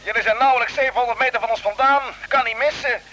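An actor playing a brisk, unworried captain of a Mars expedition in a vintage radio serial. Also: male voice, extremely high pitch, fairly even intonation, sharp tone, low-quality recording. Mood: assured. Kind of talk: vintage Dutch radio drama acting. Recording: humming vintage radio recording.

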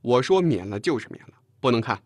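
一位男演播者在有声书里演一个倔强、说话干脆、带点嘲弄的年轻男记者。. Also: male voice, lowish voice, brisk, vocal fry, no echo. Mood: irritated, firm. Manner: firm, wry. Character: stubborn, gruff young male newspaper reporter. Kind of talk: audiobook character dialogue.

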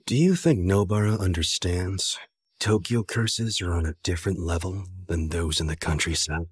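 Young male anime sorcerer teacher, playful, cocky, theatrical, lowish pitch, very dry close sound. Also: husky, dry recording. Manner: breezy, teasing, theatrical. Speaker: voice actor in an English anime dub. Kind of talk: animated dub dialogue.

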